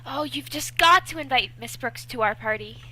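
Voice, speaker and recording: Woman playing a bright, high-voiced scheming schoolgirl. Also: expressive, normal speed, slightly rough, exaggerated voice, low-quality recording. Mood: pleading, earnest. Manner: earnest, coaxing, bossy. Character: perky, scheming teenage schoolgirl, the principal's daughter. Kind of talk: old-time radio comedy acting.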